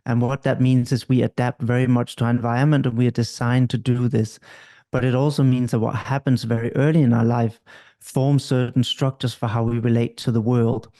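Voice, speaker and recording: A middle-aged man from Denmark, a couples therapist explaining at length in an interview, calm and measured. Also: medium pitch.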